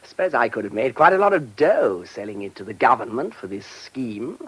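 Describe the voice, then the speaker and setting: An actor playing a brash, self-satisfied, money-minded English country-house owner in a radio drama, with breezy, chummy upper-middle-class slang. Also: male voice, mid-range voice, lively intonation, normal speed, fairly bright tone, husky. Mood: blunt, breezy. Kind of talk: British radio drama acting.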